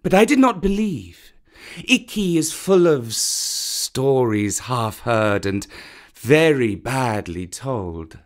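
Storybook role: a man voicing a proud old python who hisses, slow and courteous, with a swooping, lively pitch.

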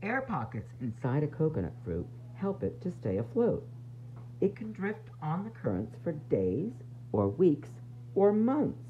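A man reads aloud to small children in a teacherly, playful way. His pitch swings widely as he stresses words for drama and slips in asides. The sound is slightly dull and lo-fi, with a faint noise behind it. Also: huge pitch swings, a little slow. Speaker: teacher reading a picture book aloud. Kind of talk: animated read-aloud for young children.

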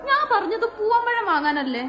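An actress playing a quick-tempered young wife who snaps, sulks and whimpers. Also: female voice, very high-pitched, big pitch swings, a crowd in the background. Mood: sulky. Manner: snappy, sulking, whimpering. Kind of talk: radio play acting.

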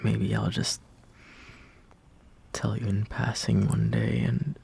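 Young man, intimate roleplay monologue, half-whispered, slow halting delivery, soft mellow tone, insecure and lovestruck. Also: lowish voice, little pitch movement, creaky, breathy edge. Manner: hesitant, halting, trailing off. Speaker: roleplay audio performer.

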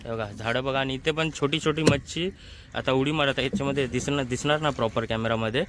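A man's voice: medium pitch, fast. A man with a Mumbai accent giving casual vlog commentary, repeatedly pointing things out to viewers. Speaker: vlogger. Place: outdoors on a small open boat on a creek. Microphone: handheld phone. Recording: noisy outdoor boat recording.

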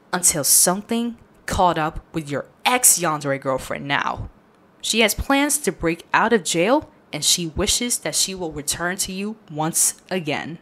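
A woman storyteller hosting and reading a scripted story recap addressed directly to the listener. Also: medium pitch. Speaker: channel host and storyteller. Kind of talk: scripted second-person story recap narration. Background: loud music.

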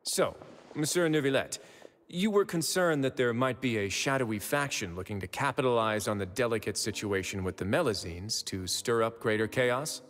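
An actor playing a composed young prison administrator in a video game, speaking in a measured, assured, wry way. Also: male voice, mid-range voice, fairly bright tone, lightly acted. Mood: composed, probing. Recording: long reverb, music underneath.

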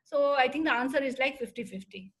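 A middle-aged woman answers a question as a panelist on a video call from a room indoors. Her voice sits a little high. She speaks in a measured, plain way with some fillers, picked up by a laptop mic. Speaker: panelist, professor. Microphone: laptop webcam on video call.

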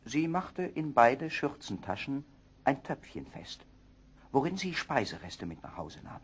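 Male storyteller, mid-pitched and husky, expressive scripted fairy-tale narration.

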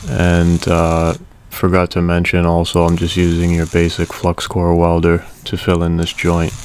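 A man casually narrating a welding repair video in a relaxed, matter-of-fact way. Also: sits low, measured pace, slight background noise.

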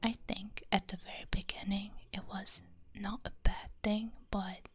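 A woman with a Hungarian accent, whispering softly and gently rambling through a binaural ASMR stream chat. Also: medium pitch, level tone, unhurried. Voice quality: soft breathy whisper. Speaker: VTuber streamer. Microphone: binaural mic.

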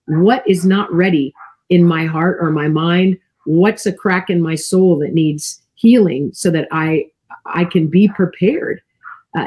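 An older woman with a low, husky voice speaks with fervent, expressive emphasis. She is a healing minister sharing her views on a video call from her home office, and the sound is plain webcam audio. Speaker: guest, healing minister and author. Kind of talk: animated testimony chat.

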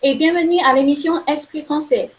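A woman's voice: high voice, steady tone, brisk. A young woman hosting an interview over a video call, asking her questions hesitantly with many fillers. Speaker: host. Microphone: video call webcam.

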